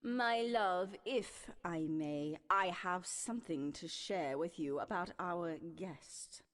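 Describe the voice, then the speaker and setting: An actress playing a devoted, smoothly sinister villainess queen in an audio drama, formal and adoring in manner. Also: lowish voice, slow. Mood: sly.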